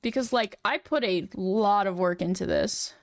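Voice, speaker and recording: A young woman talking to her stream in a loose, rambling way, full of "like" and "um." Her feminine voice sits at an ordinary pitch for a woman. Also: moderate pace. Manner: rambling, filler-heavy, chatty. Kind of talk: casual chat while working on a model.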